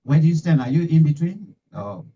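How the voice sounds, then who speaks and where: A middle-aged man hosting a live radio interview, talking in halting bursts with many fillers and restarts, recorded in a small home studio. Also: a little high, warm tone, breathy edge. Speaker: talk show host. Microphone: studio mic with headphones. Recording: distant, dull lo-fi sound.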